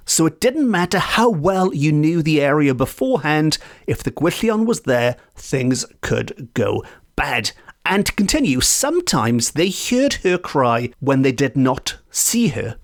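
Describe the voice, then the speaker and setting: A man from Wales who speaks Welsh, hosting a folklore podcast from home and telling the tale with playful asides. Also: fairly high pitch. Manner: lively, animated storytelling with playful asides. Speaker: podcast host. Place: home in a residential area.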